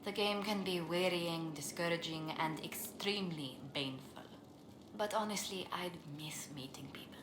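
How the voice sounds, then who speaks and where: A woman's voice: fairly low pitch, moderate pace, close to an everyday voice. A woman playing a refined, gracious and wistful lady ambassador in a video game. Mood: reflective, gracious. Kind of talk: video game dialogue acting. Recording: roomy, noisy ambience behind.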